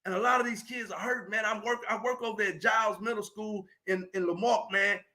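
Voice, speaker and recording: A man's voice: high voice, steady tone, brisk, sharp tone. A man, giving a preachy, emphatic motivational talk on a live stream and often raising his voice. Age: 40s. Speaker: motivational speaker.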